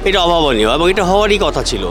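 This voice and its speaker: A man's voice: a little high, sing-song, fast talker, crowd noise. A man with a Bangladeshi accent speaking in an interview as an event organiser. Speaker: exhibition organiser. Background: music.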